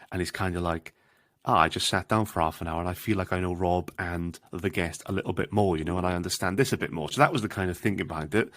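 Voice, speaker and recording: A man's voice: low-pitched, fairly bright tone, no echo. A man with a Swansea Welsh accent chatting as a podcast guest, with lots of restarts and quoted voices. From Wales. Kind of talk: casual interview chat.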